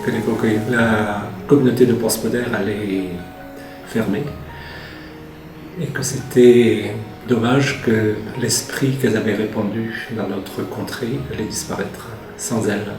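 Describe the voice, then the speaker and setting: A man's voice: lowish voice, steady tone, unhurried. An older retired man giving a plain, somewhat hesitant personal testimony about lay association with a congregation. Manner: plain, hesitant, restarts phrases. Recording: roomy with faint music behind.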